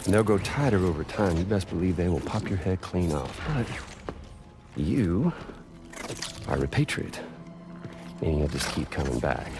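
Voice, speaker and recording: A gleeful, taunting villain. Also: male voice, deep voice, expressive, moderate pace, long pauses, over music. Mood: menacing. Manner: drawling, mocking, sing-song teasing. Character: taunting, gleeful male villain, theatrical and cruel. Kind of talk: video game cutscene acting. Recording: game cutscene with loud score.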